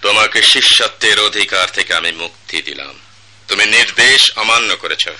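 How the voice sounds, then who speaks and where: A severe older guru and father in a radio play, Bangladeshi accent. The low voice has a very sharp, forceful ring, and every phrase is solemn and commanding. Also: normal speed. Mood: stern, angry. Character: stern, embittered older music master and father. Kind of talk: radio drama acting.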